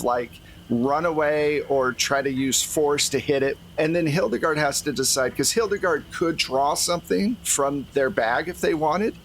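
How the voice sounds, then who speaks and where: A man's voice: fairly high pitch, a bit bright, rough recording. A man acting as game master, narrating a tabletop role-playing game to the players. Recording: faint background noise.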